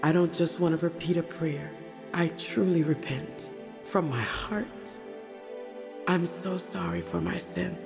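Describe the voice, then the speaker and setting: An actress playing an earnest, troubled middle-aged pastor's wife in a confessional manner for an audio drama. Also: female voice, on the low side, steady tone, unhurried, gravelly, music in the background. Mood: pleading, repentant.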